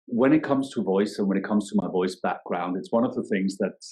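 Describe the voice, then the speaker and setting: Deep-voiced man, thoughtful. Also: brisk, a little mellow. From Denmark. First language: Danish. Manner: thoughtful, searching for words, many restarts. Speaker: yoga teacher and guest. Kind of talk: reflective podcast interview.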